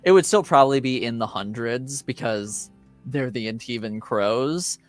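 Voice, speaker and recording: A man's voice: mid-range voice, moderate pace, soft background music. Man running a tabletop game, explaining carefully. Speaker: game master. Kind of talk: improvised tabletop roleplay narration and discussion.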